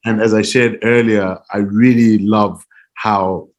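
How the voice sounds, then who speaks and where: Earnest low-voiced man. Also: steady tone, takes it slowly. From Zimbabwe. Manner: earnest and reflective, with frequent soft laughs. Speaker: interview guest, music publishing director. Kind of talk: casual interview answers.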